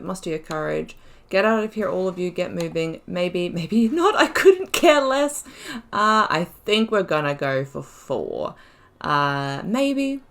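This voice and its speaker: A woman's voice: medium pitch, vocal fry, fairly bright tone. A woman giving casual gameplay commentary as the host, chatty and giggly, thinking aloud as she goes. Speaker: gaming YouTuber, host of a playthrough.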